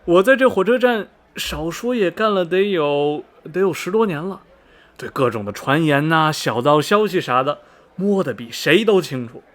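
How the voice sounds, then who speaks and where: Gossipy railway old hand for radio drama, played by a man; highish, very animated pitch, folksy rambling storyteller. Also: moderate pace, lightly acted. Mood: chatty, self-assured. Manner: folksy, rambling, full of sighs and interjections. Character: chatty, gossipy veteran railway station worker, smoker. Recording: music behind the voice. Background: background music.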